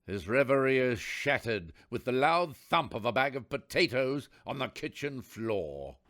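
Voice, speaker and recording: A man's voice: mid-range voice, very animated, a little slow, a bit bright. A man playing the narrator of a radio play, telling the story in scripted, descriptive prose. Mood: measured storytelling.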